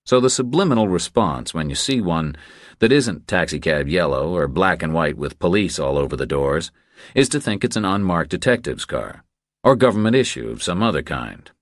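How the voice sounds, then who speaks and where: A man's voice: low-pitched, animated, normal speed, no room sound, clean studio sound. A man reading a thriller audiobook as its narrator, in a scripted storytelling style.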